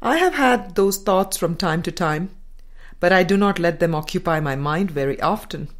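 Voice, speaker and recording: A woman reading a spiritual book aloud in a measured, deliberate and formal way. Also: on the low side, animated. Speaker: audiobook reader.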